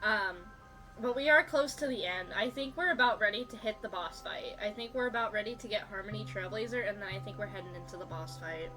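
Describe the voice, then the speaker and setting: A woman's voice: medium pitch, brisk, poor audio. A woman livestreamer chatting casually and informally with viewers during a gaming stream. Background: game music.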